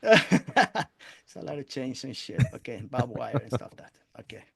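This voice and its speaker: A man's voice: mid-range voice, very animated, unhurried, vocal fry. An older man with a Spanish accent hosting a casual interview, rambling in a self-deprecating, profane way. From Spain. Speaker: interview show host. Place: indoor room on a video call.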